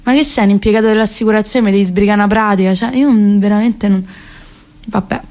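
A woman's voice: mid-range voice, fairly even intonation, quick pace, a little mellow. A woman of about thirty with a Roman Italian accent, delivering sarcastic, incredulous asides in a satirical theatrical monologue. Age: around 30. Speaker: comic monologue performer.

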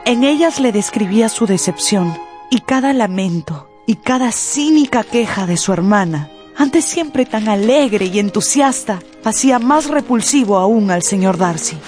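A woman's voice: medium pitch, expressive, unhurried, a little mellow, soft background music. A woman narrator reading scripted storytelling for a radio drama.

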